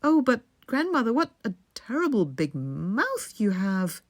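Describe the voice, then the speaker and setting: Woman playing a high-voiced innocent storybook little girl. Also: big pitch swings, measured pace. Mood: astonished. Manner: naive, wide-eyed exclaiming. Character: innocent, sweet little girl in a fairy tale.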